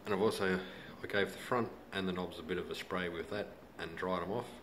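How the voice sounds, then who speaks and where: A man with a low voice and an Australian accent talks through a hands-on job in a casual, laid-back way. His wording is colloquial and unpolished. There is faint noise on the handheld home recording. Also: fairly even intonation, fairly quick, a bit bright. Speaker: hobbyist demonstrator. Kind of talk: casual hands-on how-to commentary. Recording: noisy handheld home video.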